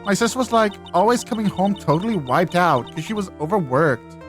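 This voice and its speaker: A woman's voice: on the low side, animated, normal speed, faint music behind, pitched above the performer's own voice, close to an everyday voice. A performer voicing a ditzy young valley-girl woman who drawls defensively in a video game dub. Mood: sad, weary. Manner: filler-heavy valley-girl drawl, peppered with "like".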